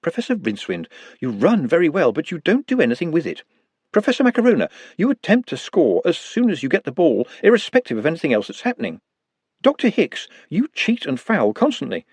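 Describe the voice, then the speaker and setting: A timid but highly articulate little man, as a male narrator puts him on, formal and precise with a posh air. His husky voice swings widely in pitch, recorded dry and close. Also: wildly swooping pitch, brisk, a little mellow, no echo, exaggerated voice. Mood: lecturing.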